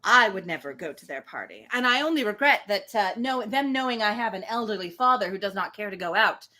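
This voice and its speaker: Female book-reading host, expressive and swooping in pitch, crisp forward tone, informal commentary between passages. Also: a little fast. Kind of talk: reading a novel aloud with casual asides.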